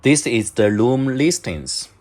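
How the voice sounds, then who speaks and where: A man narrating step-by-step instructions in halting, short clipped phrases, as a product demonstrator. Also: medium pitch, sing-song, darkish tone. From China.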